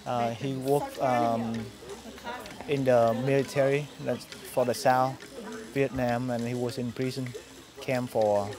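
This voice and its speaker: A man's voice: mid-range voice, level tone, slow pace. A man speaking hesitantly in an interview, searching for words.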